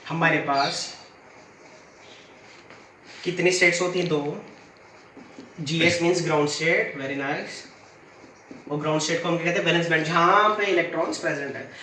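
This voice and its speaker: A man's voice: fairly high pitch, unhurried, long pauses, sharp tone, roomy sound, recorded from a distance, a little hiss or hum, poor audio. A man with a sore throat teaching a physics class, putting questions to the students and answering them himself. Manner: uses everyday stories as examples. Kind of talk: classroom lecture.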